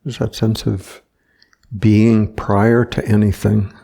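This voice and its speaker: A man guides a meditation in a very slow, even voice with a heavy creak in it. He speaks gently in short phrases and leaves long, unhurried pauses between them. The tone stays flat and soft-edged throughout. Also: lowish voice. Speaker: meditation teacher.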